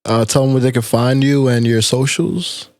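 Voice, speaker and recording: A man's voice: mid-range voice, level tone, moderate pace, a little mellow. A man hosting a casual interview, asking laid-back questions with many fillers. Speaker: podcast host.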